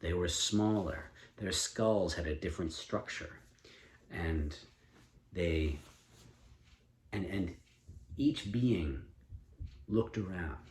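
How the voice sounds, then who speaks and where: Slow, low-voiced man telling a story. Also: darkish tone. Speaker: storyteller and co-host with a child. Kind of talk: improvised collaborative storytelling. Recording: echoey room, faint background noise.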